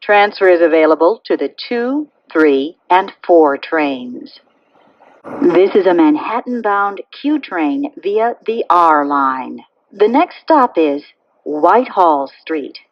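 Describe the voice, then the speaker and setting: A woman reading scripted automated train announcements in measured, segmented phrases. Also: fairly low pitch, animated, no room sound, muffled recording.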